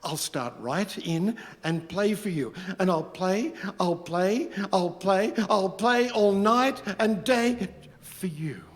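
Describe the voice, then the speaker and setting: A man plays a fallen Roman emperor turned meek, earnest and full of wonder. His voice is notably high and he takes his rhymes slowly, halting with theatrical politeness. It is a radio play heard in a reverberant hall. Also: expressive, heavily acted. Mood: astonished, grateful. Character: humbled former Roman emperor Nero, earnest, wonderstruck, grateful. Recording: echoey hall with long reverb.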